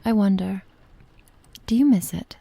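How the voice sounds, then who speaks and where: A woman playing a possessive, doting witch in an intimate ASMR roleplay, speaking softly and unhurriedly, often slipping into a whisper. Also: medium pitch, vocal fry, breathy edge, no echo. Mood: calm, possessive. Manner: soft, unhurried, affectionate, often dropping to a whisper.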